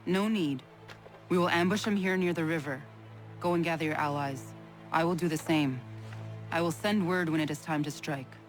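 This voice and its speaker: A fairly high male voice performed by a man as a guarded, resolute ally in a game cutscene. The delivery is terse and commanding, over clear background music. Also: moderate pace, close to an everyday voice. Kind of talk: video game cutscene acting. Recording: music behind the voice.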